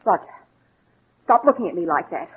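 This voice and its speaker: A woman's voice: lowish voice, expressive, brisk, slight background noise, natural voice. A woman acting a restless, defiant, demanding accomplice in a 1940s radio drama. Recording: muffled vintage 1940s radio recording.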